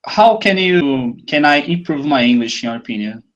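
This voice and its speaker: A man's voice: fairly high pitch, expressive, normal speed, dull audio. A Brazilian man, a native Portuguese speaker, asking interview questions in English on a video call, hesitant and searching for words. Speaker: host, English teacher.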